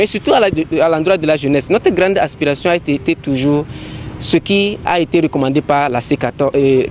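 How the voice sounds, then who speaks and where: A man with a Togolese accent giving a formal, earnest statement as a citizen, speaking haltingly and ceremoniously. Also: fairly high pitch, darkish tone. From Togo. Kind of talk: formal spoken statement to a microphone. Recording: clear outdoor street and traffic noise.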